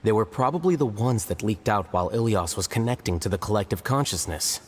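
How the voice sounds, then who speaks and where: Man playing a low, grave, knowing game character. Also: lively intonation, a little hiss or hum, lightly acted. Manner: measured, serious, expository. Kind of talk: video game voice acting.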